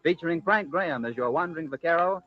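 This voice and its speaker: A man's voice: a little high, lively intonation, fast talker. An American man working as a 1940s radio announcer, reading scripted copy in a formal, polished manner. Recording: dull lo-fi 1940s radio recording.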